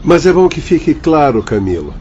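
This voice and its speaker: Mellow, sober spirit counsellor. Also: male voice, on the high side, very animated, slight background noise. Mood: sober, admonishing. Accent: Brazilian. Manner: deliberate, formal, admonishing. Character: sober, kindly spirit counsellor addressing his pupils. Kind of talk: Brazilian radio drama acting. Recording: dull audio with faint music.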